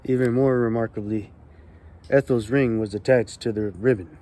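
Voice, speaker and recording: A man hosting his own channel, reading magic history from a book aloud in a calm, relaxed manner. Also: mid-range voice, moderate pace. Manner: calm, relaxed delivery meant to soothe. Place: California.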